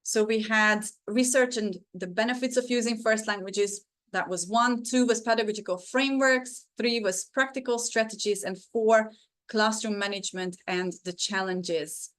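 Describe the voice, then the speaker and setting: A woman's voice: mid-range voice, steady tone, moderate pace, a bit bright. A woman whose first language is Hungarian, presenting a teaching webinar over a video call in an earnest, encouraging way with frequent fillers.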